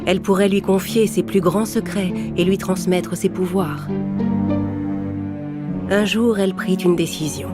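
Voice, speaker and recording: A woman narrates a children's fairy tale as a storyteller. Her voice sits a little low for a woman, and prominent music plays behind the scripted narration. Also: fairly even intonation, a little slow, pauses often.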